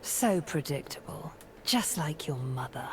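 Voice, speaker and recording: Game casting: an imperious, mocking sorceress, low register, regal and theatrical, faint noise behind. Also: female voice, expressive, unhurried. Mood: scornful, mocking. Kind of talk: video game character voice lines.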